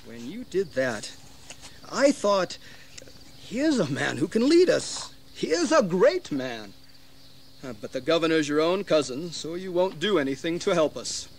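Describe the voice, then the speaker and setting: A shrewd colonial schemer, a little high in pitch with lively swings. He persuades slyly and theatrically in a history radio drama, with faint noise behind him. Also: unhurried. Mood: goading. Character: shrewd, scheming colonial frontiersman urging rebellion. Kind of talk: dramatized history audio acting.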